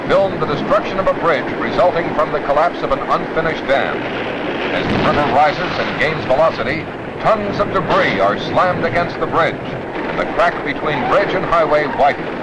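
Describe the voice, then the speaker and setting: A man's voice: a little high, animated, normal speed. An American man reading scripted 1960s newsreel narration in a formal, dramatic announcer style. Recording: noisy old newsreel soundtrack.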